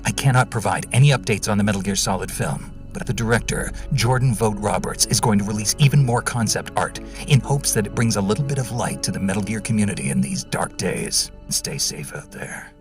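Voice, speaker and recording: A man's voice: fairly low pitch, animated, fairly quick. A man delivering a scripted message in the style of a radio transmission. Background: music.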